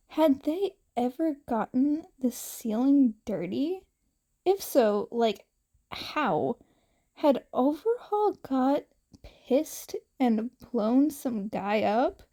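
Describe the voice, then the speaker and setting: A woman reading fiction aloud as an expressive story narrator. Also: high-pitched. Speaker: podfic narrator.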